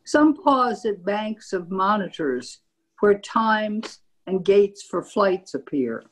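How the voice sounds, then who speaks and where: A woman reading a poem aloud in a measured recital style at a group reading. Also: medium pitch, lively intonation, rough recording. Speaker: poetry reader at a group reading.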